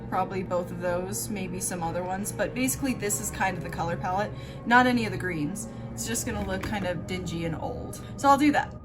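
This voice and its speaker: A woman's voice: mid-range voice, fairly quick, music in the background. A woman hosting a makeup tutorial in a casual, chatty style, with an offhand, self-deprecating and informal way of talking.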